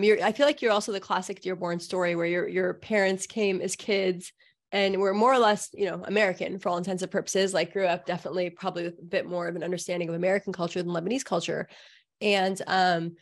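A woman's voice: lowish voice, fairly even intonation, fast talker. A woman hosting a podcast, talking in a conversational interview style. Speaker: podcast host.

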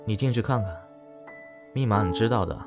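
Man playing low-voiced, easygoing young film actor. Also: brisk, lightly acted. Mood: calm, tired. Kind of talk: Chinese audio drama acting. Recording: music behind the voice.

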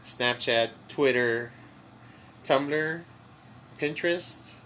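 A man whose first language is Spanish, speaking with a Mexican accent as a podcast co-host, rambling in a relaxed way with many fillers while high. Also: extremely slow, a little hiss or hum, rough recording. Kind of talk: casual chat.

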